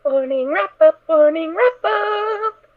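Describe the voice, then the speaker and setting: Naive pony child, tiny squeaky voice, excitable, childish, audio drama, narrow tinny recording. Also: female voice, slow, heavily acted. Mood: playful, stubborn. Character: cheerful naive little filly, pony child in spacesuit. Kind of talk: fan audio drama character acting. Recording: thin, phone-like narrow sound.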